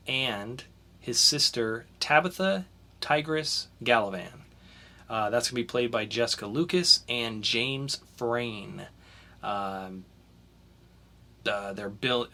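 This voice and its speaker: A man co-hosting a casual podcast, opening with a hyped intro and then chatting relaxed through the news. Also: mid-range voice. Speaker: podcast co-host.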